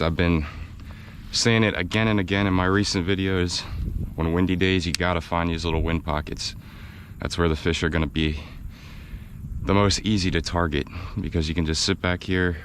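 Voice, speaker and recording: A man with a low, slightly raspy voice talks casually from a kayak, excited and chatty. He has an American accent. Faint wind and water noise sit under him. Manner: excited, chatty, laughs often. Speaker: fishing video host. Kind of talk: casual on-the-water vlog narration. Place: outdoors on a kayak in a windy swamp.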